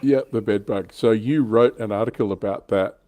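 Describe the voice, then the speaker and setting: A man's voice: on the low side, normal speed, warm tone, no echo. A man hosting a hobby livestream, chatting casually about tabletop wargame miniatures.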